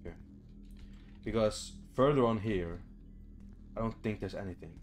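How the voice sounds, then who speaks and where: A man's voice: fairly low pitch, moderate pace, over music. A young man giving casual, informal let's play commentary, thinking aloud and swearing as he plays. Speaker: gaming video host. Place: small room indoors at home.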